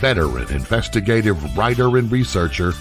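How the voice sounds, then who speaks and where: A man with a very low voice delivers a scripted show intro as an announcer. His pitch swings in a lively way, and music plays clearly behind him. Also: brisk. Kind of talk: scripted promotional intro narration. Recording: voice over music bed.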